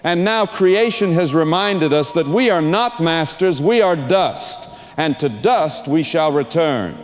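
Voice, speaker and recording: An actor playing a solemn, portentous preacher who has survived a plague, delivering a dramatic radio sermon in an audio drama. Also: male voice, a little high, expressive, measured pace. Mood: grave, condemning. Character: apocalyptic preacher, a grave male survivor of a plague. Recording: long cavernous reverb with music underneath.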